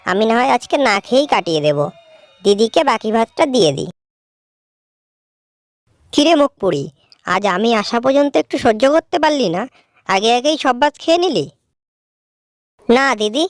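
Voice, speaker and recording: A woman's voice: fairly high pitch, no room sound, clean studio sound, lightly acted. A woman acting a young village sister in a cartoon folk tale. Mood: spiteful, accusing. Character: two village sisters, one sweet, one spiteful.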